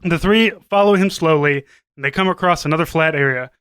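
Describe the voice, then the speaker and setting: A male podcast narrator reading a comic script. His voice is high, bright and clean, with very little room sound around it. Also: fairly quick, clear, resonant tone, no room sound, clean studio sound.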